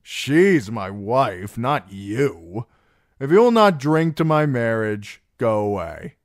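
Man playing a loud, cocky drunken young singer. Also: medium pitch, very animated, no room sound, clean studio sound, pitched above the performer's own voice. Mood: mocking. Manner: loud, swaggering, slow drawn-out delivery. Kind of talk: audiobook character voice.

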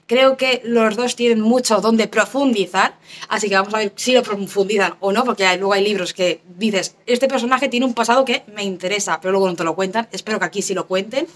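A hoarse young woman chatting casually to camera as a book vlogger, recorded at home on a phone or camera held close. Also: medium pitch. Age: young adult. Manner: chatty, quick asides and fillers. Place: small rooms at home and an outdoor terrace.

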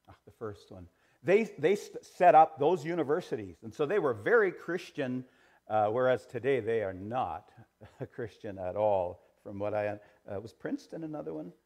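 A middle-aged man teaching a church history Sunday school class in a small sanctuary, gesturing as he talks, with frequent fillers and restarts and a voice that trails off quietly. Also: mid-range voice.

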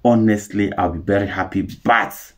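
A young Nigerian man giving animated, opinionated commentary to camera in a room, with a Nigerian accent and pidgin-tinged English. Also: fairly low pitch, normal speed. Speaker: news commentary vlogger. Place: indoor room with curtains.

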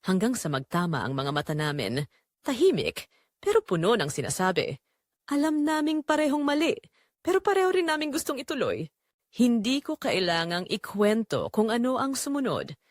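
A woman's voice: a little high, big pitch swings, moderate pace, dry recording, clean studio sound. A woman reading scripted, first-person dramatic narration.

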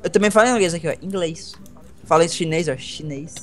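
A man's voice: on the high side, brisk, soft background music. A Brazilian man joking and defending himself playfully while gaming with friends. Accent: Brazilian Portuguese. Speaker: gamer in a group session. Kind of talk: casual gaming chat with friends.

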